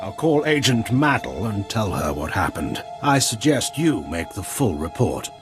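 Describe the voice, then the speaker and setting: Casting: ruthless, formal military admiral in a sci-fi game, widely swinging intonation, score underneath. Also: male voice, lowish voice, big pitch swings, measured pace. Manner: formal, authoritative, haughty. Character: haughty male imperial admiral, commanding and ruthless. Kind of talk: video game cutscene acting. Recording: game music behind the voice.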